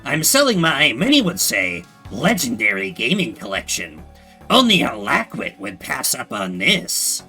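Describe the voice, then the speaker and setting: Creaky, pompous gamer nerd. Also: male voice, mid-range voice, huge pitch swings, unhurried, sharp tone, soft background music, full character voice. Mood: haughty, impatient. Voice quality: nerdy, harsh, creaky. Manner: pompous, impatient, stilted formal diction. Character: pompous, haughty, socially awkward male gamer nerd trader.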